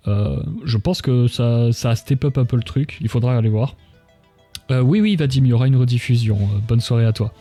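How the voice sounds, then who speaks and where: A man's voice: medium pitch, fairly quick, mellow. A man talking casually on a livestream, giving his opinions in a blunt, emphatic, colloquial manner. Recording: music behind the voice. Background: music.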